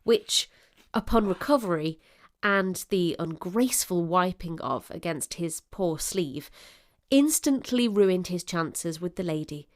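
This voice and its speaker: A woman narrator reading a scripted period drama in an expressive, literary storytelling manner. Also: on the low side, measured pace.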